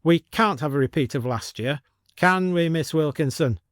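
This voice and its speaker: A man plays an imperious upper-class lady running a village committee in an audio story. She is prim and formal, pitched high, commanding the room with proper diction, in a close, dry recording. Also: normal speed, dry recording, lightly acted. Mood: prim, firm.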